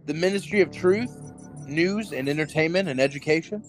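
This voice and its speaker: An actor playing an anxious, obedient party worker with hidden doubts in a radio drama, earnest and dutiful. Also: male voice, on the high side, normal speed, faint music behind, lightly acted, low-quality recording. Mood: dutiful, nervous.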